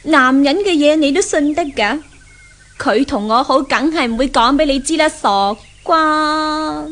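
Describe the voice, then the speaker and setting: Haughty, high-voiced Cantonese rich girl. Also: a little slow, a bit bright. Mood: threatening. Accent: Hong Kong Cantonese. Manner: haughty, taunting. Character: haughty, jealous rich young woman threatening her love rival. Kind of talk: 1970s Hong Kong radio drama acting. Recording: noisy old 1970s radio recording.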